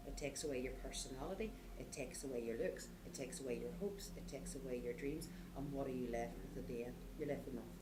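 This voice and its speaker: A low-voiced woman with a Northern Irish accent speaks earnestly and with emphasis, repeating phrases to drive points home. She is heard in an echoey room. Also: noisy background. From Northern Ireland. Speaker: support worker. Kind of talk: documentary interview.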